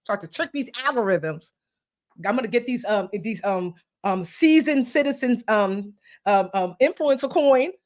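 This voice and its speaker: A woman's voice: a little high, expressive, a little slow. A woman in her forties hosting a live personal finance session, explaining credit in a playful, sassy way and speaking straight to her audience. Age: 40s. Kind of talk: live-stream teaching chat.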